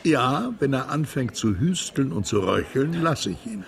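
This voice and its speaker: A man's voice: medium pitch, expressive, measured pace, creaky. A man acting a wistful Russian émigré veteran who recalls old adventures plainly in a radio drama. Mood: reminiscing. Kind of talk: radio drama dialogue, storytelling.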